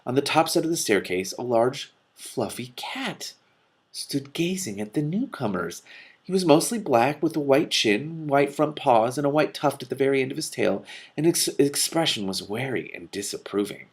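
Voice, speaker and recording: A man's voice: mid-range voice, expressive, moderate pace, husky. A man reading a novel aloud and breaking off for casual commentary. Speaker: reader and commentator.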